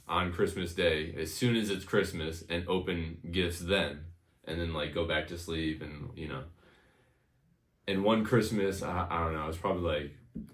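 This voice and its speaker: A man's voice: low-pitched, echoey room. A man in his thirties from Connecticut with an American accent, casually telling personal stories in an informal, reminiscing way as an interview guest. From Connecticut, United States. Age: 30s. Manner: informal, reminiscing, frequent "you know".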